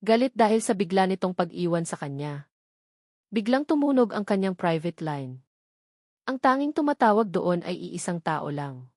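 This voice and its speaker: A woman's voice: on the low side, very animated, normal speed, a little mellow, dry recording. Filipino woman narrating a dramatic story, dry sound.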